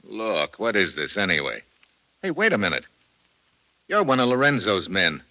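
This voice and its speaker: Tough american radio adventure hero, low voice, swings around a lot, dry and sardonic. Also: male voice, huge pitch swings, moderate pace, bright, forceful tone, full character voice, rough recording. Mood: defiant. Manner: laconic, sardonic, slangy. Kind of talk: old-time radio adventure acting. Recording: vintage radio drama recording.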